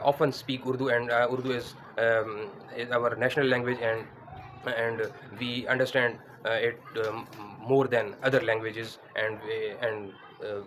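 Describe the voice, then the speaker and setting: A man's voice: mid-range voice, a bit bright, a little hiss or hum, poor audio. A man, teaching English grammar in a hesitant way, with many fillers and restarts. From Pakistan. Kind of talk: spoken grammar lesson. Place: indoors against a plain wall.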